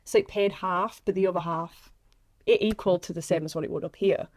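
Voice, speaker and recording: A woman's voice: lowish voice, steady tone, brisk, a little mellow. Chatty woman in casual conversation. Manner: chatty, self-deprecating, slangy. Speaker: actress interviewing a fellow actress on a podcast. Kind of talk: casual chat.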